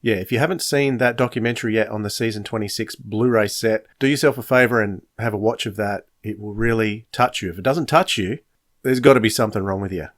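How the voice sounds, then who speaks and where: A man's voice: lowish voice, fast. A man hosting a podcast, giving an opinionated monologue in an earnest, emphatic way, stressing points by repetition. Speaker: podcast host.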